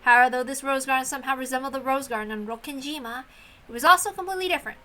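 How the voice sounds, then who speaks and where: Woman reading a visual novel out loud, high bright voice, expressive storytelling. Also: racing. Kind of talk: expressive scripted story narration.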